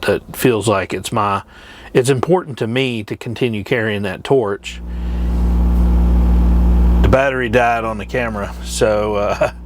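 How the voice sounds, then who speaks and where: A middle-aged man talking in a casual, rambling vlog style, plain-spoken and hesitant, with trailing pauses. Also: fairly low pitch, heavy background noise. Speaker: truck driver and vlogger. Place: truck cab.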